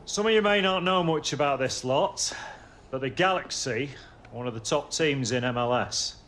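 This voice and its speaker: An actor playing a demanding British football manager giving a rousing team talk in a game. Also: male voice, mid-range voice, moderate pace, a bit bright, lightly acted. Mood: firm, motivating. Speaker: football coach.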